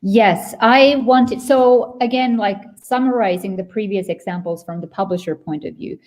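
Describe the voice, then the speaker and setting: A woman's voice: medium pitch, moderate pace, darkish tone, poor audio. A woman with a Finnish accent giving a webinar presentation, explaining at length and searching for words mid-sentence. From Finland. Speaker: company presenter.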